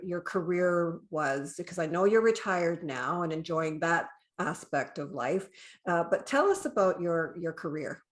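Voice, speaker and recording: A woman with a Canadian accent, speaking as the host of a podcast interview. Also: lowish voice.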